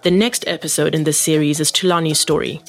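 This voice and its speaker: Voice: mid-range voice, fairly even intonation, a little fast, fairly bright tone, faint music behind. An announcer giving a scripted podcast outro. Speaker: series announcer.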